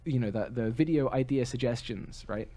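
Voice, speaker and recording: 男播客主持人，中等音高. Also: male voice, mid-range voice, moderate pace, warm tone. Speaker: podcast co-host. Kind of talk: casual podcast chat.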